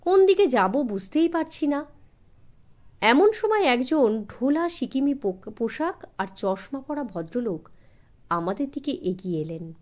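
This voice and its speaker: A woman reading a suspense story as an audiobook narrator, in an expressive scripted style suited to children. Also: sits high, unhurried.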